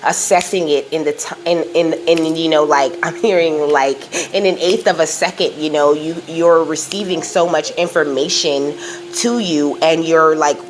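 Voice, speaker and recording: A woman's voice: low-pitched, steady tone, normal speed. A woman giving a casual psychic card reading, rambling and reassuring, peppering her talk with "you know" and "okay?" while passing on messages from Spirit. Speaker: psychic tarot reader.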